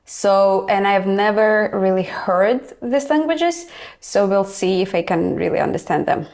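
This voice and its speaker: A woman's voice: mid-range voice, unhurried, darkish tone. A woman with a Russian accent hosts a reaction video, talking in a chatty, hesitant way with many fillers. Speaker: reaction channel host. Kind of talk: casual reaction commentary.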